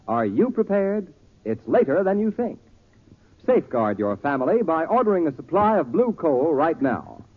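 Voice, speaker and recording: A tinny, muddy old radio recording carries a male announcer. His fairly high voice swings up and down with emphatic salesmanship, in the polished scripted manner of 1940s broadcasting. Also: sing-song, normal speed, husky. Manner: emphatic, declamatory sales delivery. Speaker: announcer and sponsor pitchman.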